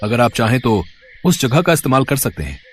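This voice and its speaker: A man voicing a smooth-talking, two-faced village landowner in an acted storybook tale. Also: medium pitch, big pitch swings, a little fast, a little hiss or hum, pitched below the performer's own voice, close to an everyday voice. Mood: affable, generous.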